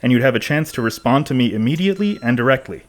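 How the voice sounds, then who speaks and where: Actor as a pensive mid-voiced podcast host. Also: male voice, mid-range voice, lively intonation, fast, lightly acted. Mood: pensive, conversational. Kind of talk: conversational scripted monologue in audio drama.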